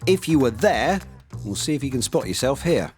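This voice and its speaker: A man delivers scripted narration for a TV magazine programme. His mid-pitched voice moves up and down in a lively way, with music clearly underneath. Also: normal speed. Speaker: presenter.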